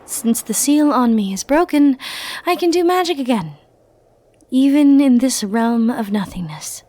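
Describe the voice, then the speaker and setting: A woman playing a powerful, flirty and possessive sorceress in an ASMR roleplay, speaking softly and intimately with theatrical, teasing, condescending turns. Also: high voice, lively intonation, measured pace. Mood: smug, teasing. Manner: soft, intimate, teasing, theatrical delivery with breathy murmured asides.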